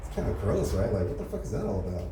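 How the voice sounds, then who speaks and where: Young male comic, quick crude club set, lowish voice, echoey distant room with noise. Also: sing-song, dark tone. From Los Angeles area. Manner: casual, crude, lots of filler tags like "you know" and "right". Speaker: stand-up comedian. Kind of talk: open mic stand-up set. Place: comedy club stage, heard from far off.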